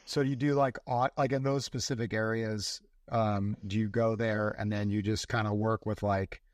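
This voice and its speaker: A man's voice: fairly low pitch, little pitch movement, moderate pace, no room sound, clean studio sound. Hesitant, rambling male podcast host. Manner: rambling, hesitant questions with many fillers. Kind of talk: casual interview.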